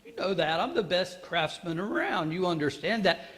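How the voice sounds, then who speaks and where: Casting: male actor as boastful, excitable ancient carpenter for stage monologue; very animated, emphatic, halting delivery, long hall reverb. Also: sits high. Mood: proud, delighted. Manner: emphatic, halting, repeats phrases, addresses listeners directly. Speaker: monologue performer. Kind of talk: theatrical church monologue.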